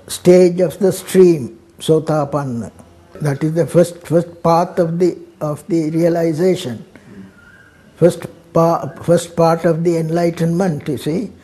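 An elderly man, speaking haltingly in an indoor documentary interview, repeating words. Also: fairly high pitch, dark tone, breathy edge. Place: indoors in a living room.

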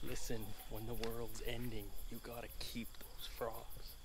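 Slow-talking man vlogging on a farm. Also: medium pitch, completely flat delivery, very noisy. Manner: rambling, chatty, with fillers. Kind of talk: casual handheld vlog narration. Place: outdoors on a rural farm.

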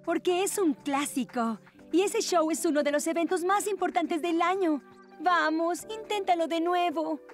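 Bossy know-it-all cartoon teen, high, stern. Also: female voice, normal speed, soft background music. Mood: instructive. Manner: stern, instructive. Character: bossy, bookish teenage girl, strict perfectionist. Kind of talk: cartoon voice acting.

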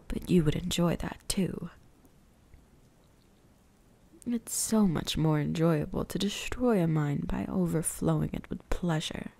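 Slow, breathy vampire huntress played by a woman. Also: lowish voice, warm tone, no echo, full character voice. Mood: seductive, possessive. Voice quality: breathy, often whispered. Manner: slow, teasing, menacing. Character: ancient female vampire huntress, predatory, possessive, seductive. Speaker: voice actress. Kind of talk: ASMR roleplay, close breathy whispering.

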